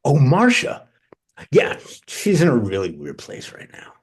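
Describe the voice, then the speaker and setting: An actor reading the part of an impulsive, foul-mouthed American man at a screenplay table read, casual and animated, with a husky voice. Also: mid-range voice, measured pace, full character voice. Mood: gossipy, guarded. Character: Benji, amped, impulsive, foul-mouthed American male cousin.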